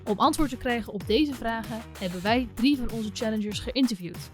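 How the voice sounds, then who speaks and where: A woman's voice: a little high, fairly quick, soft background music. A woman reading scripted promotional narration.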